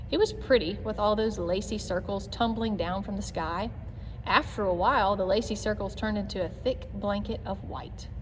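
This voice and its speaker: Woman reading a children's story, very echoey. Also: mid-range voice. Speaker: read-aloud reader. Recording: long echoey reverb, background noise.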